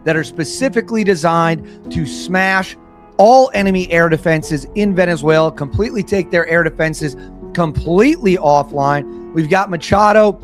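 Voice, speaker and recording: A slightly hoarse man giving opinionated news commentary, stressing and repeating his points and reading reports aloud. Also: a little high, normal speed, faint music behind.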